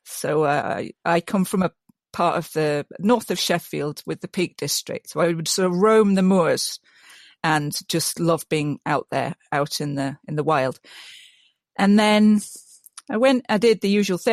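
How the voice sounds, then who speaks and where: A woman's voice: lowish voice, normal speed, no room sound. Enthusiastic woman, dry close sound. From Yorkshire, England. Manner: enthusiastic, restarts often, many ums. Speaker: guest, mud mason. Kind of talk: casual interview chat.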